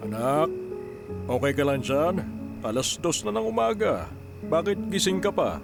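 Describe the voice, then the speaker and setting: A man playing a concerned, gentle middle-aged father in a radio drama, with a Filipino accent. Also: fairly high pitch, sing-song, measured pace, vocal fry, loud music behind the voice, exaggerated voice. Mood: concerned, tender.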